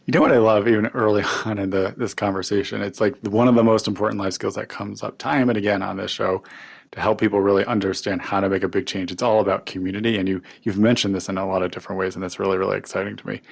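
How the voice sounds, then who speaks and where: A man with a fairly low voice and a lot of gravelly fry hosts an interview podcast. He speaks conversationally and encourages his guest as he frames his questions.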